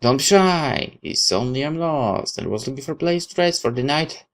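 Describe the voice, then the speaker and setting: Man acting a gentle young traveler, raised pitch. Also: animated, normal speed. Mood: gentle, reassuring.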